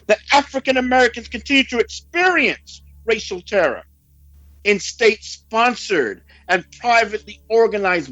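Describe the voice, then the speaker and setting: A man preaching and reading out a report in a solemn, emphatic oratorical manner with dramatic pauses. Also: high voice, fairly bright tone. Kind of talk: sermon mixed with read-out news report. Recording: dull lo-fi recording with background music.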